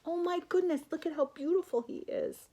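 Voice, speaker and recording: An older woman reads a children's picture book aloud in a gentle, expressive way, with asides to a young listener. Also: very high-pitched, a little fast, background noise. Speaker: grandmother storyteller.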